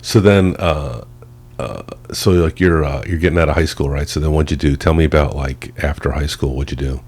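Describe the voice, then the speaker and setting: A man's voice: a bass voice, brisk, a little mellow, slightly rough. A man conducting a casual interview as a company boss, in an informal, chummy way. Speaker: company president interviewing an employee.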